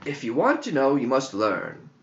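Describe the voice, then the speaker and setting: A wise woman teacher in a storybook, played by a man. She is direct, patient and challenging, with an animated, swooping delivery. The recording is a little dull. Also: mid-range voice, normal speed. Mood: firm. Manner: instructive, probing, direct. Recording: dull lo-fi audio.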